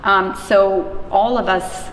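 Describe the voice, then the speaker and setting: A woman's voice: mid-range voice, steady tone, slow, fairly bright tone. A woman giving informal, emphatic conversational answers in an interview about her work. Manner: emphatic, informal, illustrates points with acted-out quotes. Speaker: interviewee, anti-trafficking worker. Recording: echoey, reverberant room with clear background noise.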